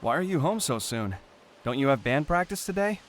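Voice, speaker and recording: A man's voice: a little high, very animated, brisk, slight background noise. A man playing a concerned, pushy middle-aged father in an audio drama, earnest and coaxing.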